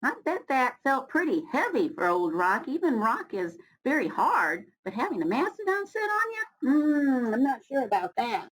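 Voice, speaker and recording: A woman's voice: high-pitched, big pitch swings, normal speed, hardly any pauses, low-quality recording. An American woman reading a picture book aloud to young students, chatting to them, explaining words and asking questions as she goes. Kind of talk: children's read-aloud with chatty asides.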